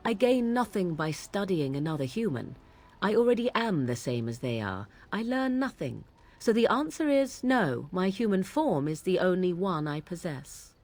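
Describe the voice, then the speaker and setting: A woman's voice: on the low side, very animated, normal speed, a little mellow. An actress playing an aloof, sardonic young witch from the wilds, speaking in a formal, archaic and haughty way in studio game dialogue. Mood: cool. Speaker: game companion character.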